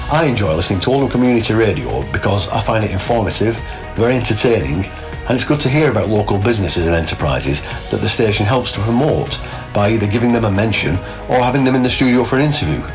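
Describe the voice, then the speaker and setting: A man delivers a prepared listener testimonial. His voice is lowish and he talks quickly, with music clearly behind him. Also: animated. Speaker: radio listener giving testimonial.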